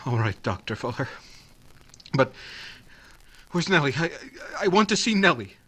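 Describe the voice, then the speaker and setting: An urgent young man in a radio play, acted by a man, distraught and devoted. His husky voice is forceful and animated as he pleads. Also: fairly high pitch, normal speed, full character voice. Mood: distraught, pleading. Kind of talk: old-time radio acting.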